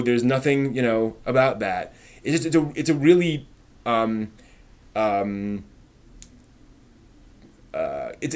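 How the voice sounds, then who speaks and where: Give this a man with a medium-pitched voice hosting a casual live stream. He talks off the cuff to his viewers, feeling his way through each thought. His delivery is halting and tends to trail off. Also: darkish tone. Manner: halting, thinking aloud, trailing off. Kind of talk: casual live-stream chat about anime, answering viewers' comments.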